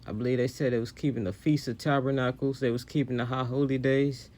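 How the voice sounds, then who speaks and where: A man preaching in a loose, conversational way between video clips. He circles back on his points and drops in scripture quotes, at an ordinary mid pitch. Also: fairly even intonation, quick pace, darkish tone. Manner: casual, repetitive, preachy with scripture quoting. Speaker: religious commentator. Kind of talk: sermon-like commentary on video clips.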